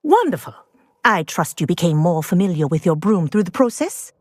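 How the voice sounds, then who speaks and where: Husky, encouraging wizard-school professor. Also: female voice, on the low side, big pitch swings, no echo, studio quality. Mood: encouraging, instructive. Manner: measured, formal, instructive. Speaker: game voice actor. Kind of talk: video game character dialogue.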